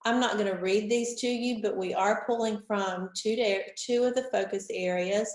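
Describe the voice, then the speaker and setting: A woman, stuffy from a cold, leading an online training session for teachers in a clear, organized, instructive way. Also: mid-range voice. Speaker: instructional technology facilitator. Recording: roomy echo.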